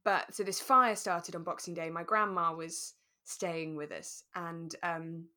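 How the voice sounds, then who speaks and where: A woman in her thirties, co-hosting a podcast in relaxed chat and telling personal stories in an animated way. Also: on the low side, unhurried. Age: 30s. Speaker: podcast co-host.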